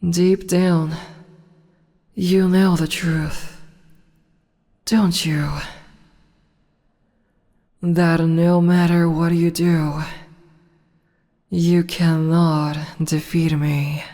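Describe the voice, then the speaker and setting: A woman voicing a commanding, teasing demon empress in an ASMR audio roleplay, speaking in slow, low whispers. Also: little pitch movement, mellow, in a large hall. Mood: menacing whisper. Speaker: voice actress.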